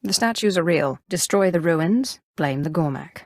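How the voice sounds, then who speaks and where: A woman voicing an imperious, cunning young Sith sorceress in a video game, with a haughty, deliberate, theatrical delivery. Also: on the low side, expressive, darkish tone, no room sound, studio quality. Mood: haughty, commanding.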